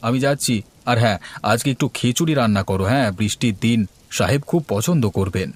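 A man's voice: medium pitch, a little hiss or hum, lightly acted. A man playing a fretful, hospitable village householder in a cartoon, homely and exclamatory in his talk. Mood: reassuring. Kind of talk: cartoon dialogue.

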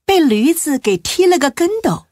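Expressive female story narrator. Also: sits high, normal speed, dry recording, studio quality.

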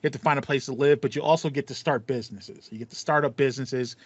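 A man's voice: medium pitch, fast talker, husky, no echo. An American man in his thirties co-hosting a casual gaming podcast, talking in a chatty, playful, slangy way. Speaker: podcast co-host. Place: small home room with acoustic foam, indoors. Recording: slightly dull, dry home recording.

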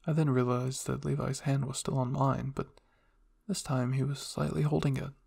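A male narrator reads a first-person roleplay story. His voice is raspy with heavy fry, and his intonation stays fairly flat. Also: mid-range voice, moderate pace. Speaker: fan fiction narrator.

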